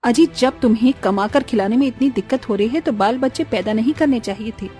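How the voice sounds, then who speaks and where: A woman's voice: on the high side, a little fast, dark tone, soft background music, natural voice. A woman voicing a hard-pressed, practical young village daughter-in-law in a cartoon story, earnest and complaining. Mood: indignant.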